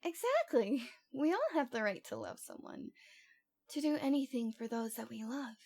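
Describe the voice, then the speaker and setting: A woman's voice: fairly high pitch, wildly swooping pitch, moderate pace, darkish tone, full character voice. A woman playing a cute, lovesick young stalker girl in an ASMR roleplay, with a coy, pouty, dramatic delivery. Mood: self-righteous, defensive.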